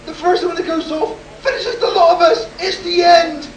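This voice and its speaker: A performer in a live radio play as a desperate, overwrought male test pilot, urgent and halting. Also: extremely high pitch, lo-fi sound. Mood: frantic. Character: desperate test pilot man warning of doom. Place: convention hall stage, live performance. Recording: roomy distant live stage recording, noisy.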